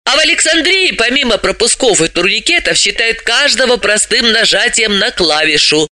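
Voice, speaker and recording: Here is a brisk, self-assured woman sleuth from an audiobook, acted out by a man. The voice is pitched high and sounds very bright, swinging widely as it explains with businesslike emphasis and a mocking edge. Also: expressive, no room sound, studio quality, heavily acted. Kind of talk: audiobook character voice.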